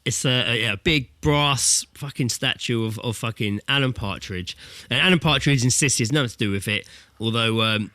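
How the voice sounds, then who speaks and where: British male, podcast co-host. Bright, punchy voice, dry recording, casual jokey banter with swearing. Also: medium pitch, moderate pace, dry recording. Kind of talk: casual comedic podcast chat. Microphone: remote internet call recording.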